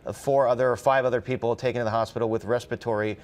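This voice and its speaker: A man's voice: mid-range voice, steady tone, very fast, darkish tone. An American man, a reporter, explaining news in a conversational way, thinking aloud as he goes. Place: TV studio.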